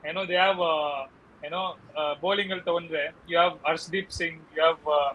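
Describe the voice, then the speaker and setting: A man's voice: fairly high pitch, fairly even intonation, very fast, fairly bright tone, dull audio. A man with an Indian accent talking casually as a cricket panelist, hesitant and often padding his sentences with filler phrases. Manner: hesitant, repeats "you know" constantly. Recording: faint background noise.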